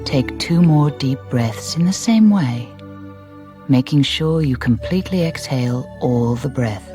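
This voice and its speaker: A woman narrating a guided meditation in a soft, breathy voice, soothing and deliberate, with gentle phrasing and pauses. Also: rumbling low, expressive. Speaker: relaxation guide. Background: music.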